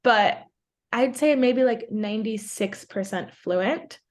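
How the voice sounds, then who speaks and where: A woman's voice: a little high, slow pace. A woman in her twenties from New York, whose first language is Portuguese, chatting casually as a podcast guest and saying "like" a lot. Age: 20s. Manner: chatty, fills speech with "like". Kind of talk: casual interview chat.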